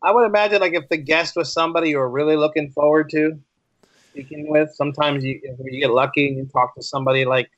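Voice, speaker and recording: A man's voice: fairly high pitch, steady tone, quick pace, a bit bright, dry recording. A man speaking as a podcast guest, telling stories conversationally in an earnest, emphatic way that repeats phrases. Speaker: podcast guest, writer and teacher. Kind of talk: casual conversational storytelling. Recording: dry, slightly dull lo-fi line.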